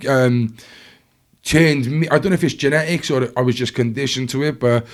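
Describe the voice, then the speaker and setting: A young South Welsh man talks through his past as a podcast guest, easygoing and conversational. He rambles, stacks fillers and restarts his sentences, and his voice sits in an ordinary middle pitch. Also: normal speed. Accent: South Wales. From Wales. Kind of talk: casual storytelling chat.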